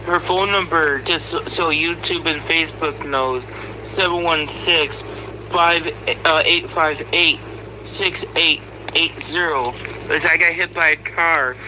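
A man's voice: on the high side, fairly even intonation, measured pace, sharp tone, a little hiss or hum, low-quality recording. A man giving an angry, profane rant in an aggrieved, sarcastic manner. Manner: aggrieved, sarcastic, foul-mouthed. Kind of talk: angry, profane rant to camera and recorded audio.

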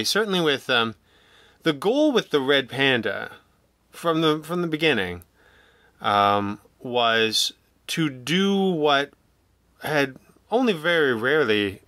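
A man with a bright, forceful voice in a very dry recording. He chats casually as an interview guest, feeling his way haltingly through digressions and self-interruptions. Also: medium pitch, no room sound. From Canada. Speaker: podcast guest, audio drama writer and producer.

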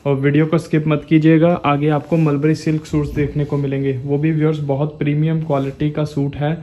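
Shop sales presenter, flat delivery, mellow dark tone, persuasive urging. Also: medium pitch, fairly quick, dull audio. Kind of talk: sales pitch.

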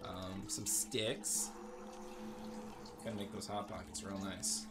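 A man's voice: low-pitched, flat delivery, takes it slowly, heavy background noise. A man giving casual gameplay commentary in a joking, sarcastic, crude way. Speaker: gaming streamer.

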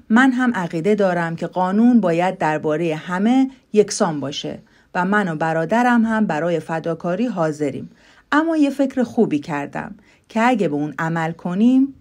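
A clever little rabbit from a storybook, played by a woman in a lowish female voice. He speaks in an earnest, deliberate way, always trying to persuade. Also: a little mellow, natural voice. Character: clever, persuasive male rabbit of a fairy tale.

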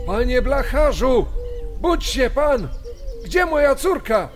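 An actor playing a distraught village father in a true-crime dramatization, shouting urgently. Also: sits high, sing-song, takes it slowly, faint music behind, exaggerated voice. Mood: alarmed, demanding. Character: distraught village father searching for his daughter.

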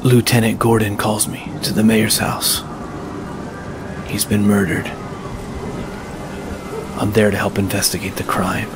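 A man playing a brooding masked vigilante, narrating a noir audio drama in a grave, deliberate, terse way. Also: lowish voice, little pitch movement, background noise, lightly acted. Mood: brooding, menacing. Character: brooding masked male vigilante haunting a crime-ridden city. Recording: loud music with rain and thunder behind. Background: loud cinematic music, rain, thunder.

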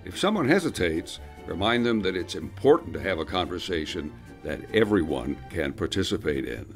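A man's voice: sits low, very animated, normal speed, soft background music. A man narrating a scripted awareness video in an upbeat, lightly joking voice-over.

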